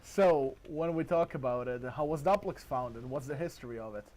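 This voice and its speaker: A man's voice: medium pitch, normal speed, darkish tone. A man hosts a casual gaming podcast interview with friendly curiosity, laughing easily. His is a little broken. A faint hiss sits behind his voice. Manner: friendly, laughs often, slightly broken English. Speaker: podcast host.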